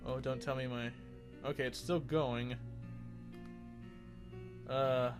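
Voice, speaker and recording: A man's voice: medium pitch, steady tone, takes it slowly, music in the background. A man doing casual, rambling game commentary as a let's play host, often correcting himself as he goes.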